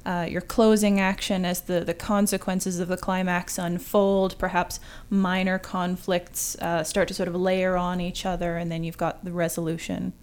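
A woman's voice: lowish voice, flat delivery, moderate pace, a little mellow, smooth. A woman writer as a podcast guest, explaining things in an articulate, academic way within a conversation. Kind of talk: conversational literary discussion.

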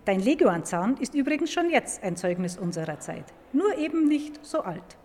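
A woman explaining science to a young listener in a clear, didactic way, stressing key words by repeating them. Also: fairly high pitch, big pitch swings, normal speed, darkish tone. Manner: clear, didactic, emphatic repetition. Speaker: museum paleontologist. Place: large echoing indoor museum hall. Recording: reverberant hall with background noise.

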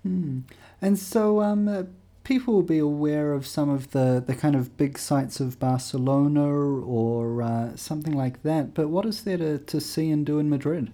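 A man hosting a travel podcast, reading sponsor announcements and asking interview questions in a relaxed way, with frequent "uh"s and restarts. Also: on the high side, moderate pace. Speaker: podcast host.

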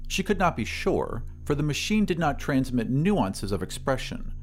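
A man's voice: mid-range voice, big pitch swings, moderate pace. A man narrating a story as a scripted dramatic reading. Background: music.

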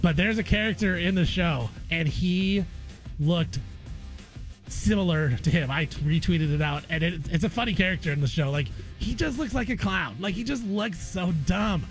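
A man's voice: high-pitched, moderate pace, soft background music. A man hosting sports radio in a casual, opinionated way, repeating phrases for emphasis. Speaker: sports radio guest host.

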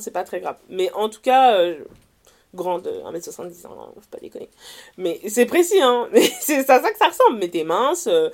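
A young adult woman with a French accent from France speaking as an interview guest, in casual, slangy personal testimony, animated and often correcting herself mid-sentence. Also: medium pitch. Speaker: interview guest, writer and columnist.

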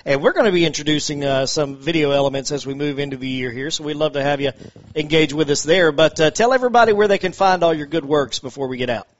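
A man's voice: on the high side, fast talker, dull audio. A man hosting a sports radio show, upbeat and easygoing. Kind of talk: casual sports radio chat.

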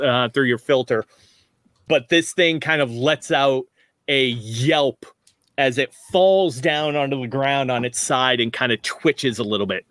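A man's voice: mid-range voice, fairly bright tone, dry recording, studio quality. A man narrating as game master in a tabletop roleplaying game, rambling with frequent restarts. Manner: rambling, many restarts, laughs often.

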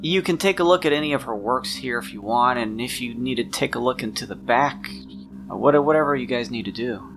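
A man's voice: mid-range voice, brisk, music in the background, lightly acted. A man playing a helpful, unassuming shop owner in a tabletop game, hesitant and accommodating as he talks. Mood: hesitant, concerned.

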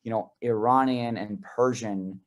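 A man's voice: on the low side, fairly even intonation, unhurried. A man hosting an interview podcast, posing long conversational questions with frequent "you know" fillers. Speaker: podcast host.

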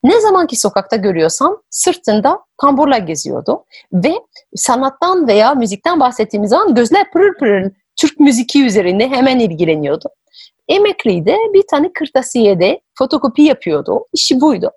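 A woman hosting an interview, telling personal stories in a conversational, expressive way. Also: on the high side, normal speed, breathy edge, no echo. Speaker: podcast host. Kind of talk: conversational storytelling.

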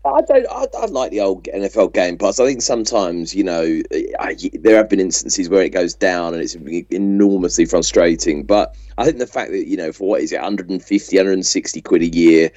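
A man's voice: low voice, expressive, fairly quick, hardly any pauses, warm tone, no echo. A British man co-hosting a casual sports podcast in a laid-back, matey, colloquial way. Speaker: podcast co-host. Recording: dull, slightly lo-fi microphone.

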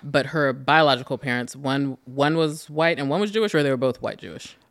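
A woman's voice: extremely low pitch, a little fast, no echo, studio quality. A woman hosting a podcast and interviewing a guest in a playful, teasing way, full of exclamations and asides. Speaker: podcast host. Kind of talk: casual conversational interview.

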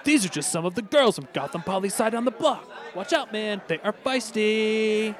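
Cartoon frat bro, male actor: young, friendly, high and animated, faint crowd behind. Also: moderate pace. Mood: welcoming. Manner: bro-speak. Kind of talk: animated comedy voice acting.

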